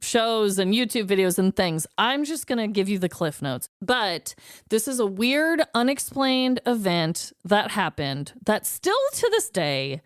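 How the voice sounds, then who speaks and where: A woman's voice: medium pitch, very animated, unhurried, clear, resonant tone, dry recording, studio quality. A woman co-hosting a casual podcast chat, reacting with frequent laughter and casual swearing. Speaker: podcast co-host.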